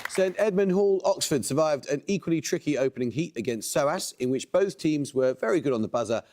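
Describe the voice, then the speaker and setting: A man hosting a quiz show, reading questions and introductions with a measured, precise delivery. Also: on the high side, expressive, a little mellow.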